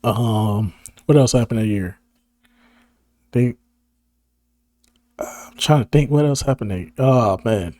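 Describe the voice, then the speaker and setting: A hoarse man of about thirty, talking casually to camera in a rambling, slang-heavy way and laughing often. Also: mid-range voice, takes it slowly, pauses often, warm tone, no echo. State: hoarse, losing his voice. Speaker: video creator. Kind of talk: casual reminiscing monologue to camera.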